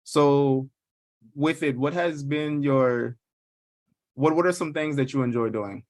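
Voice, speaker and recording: Male podcast host, casual and relaxed, repetitive fillers, dry close sound. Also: medium pitch, slow pace, no echo. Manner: laid-back, repeats filler phrases.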